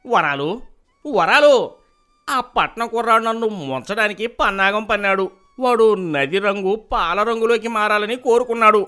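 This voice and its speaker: A cunning, money-hungry village priest from a cartoon moral tale, with a voice that swings widely up and down in pitch. He blusters and wheedles, turning to pleading when he is caught out. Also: animated, normal speed, bright, forceful tone, clear, resonant tone, soft background music. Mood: agitated. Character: scheming greedy village priest, middle-aged man. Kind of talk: animated cartoon voice acting.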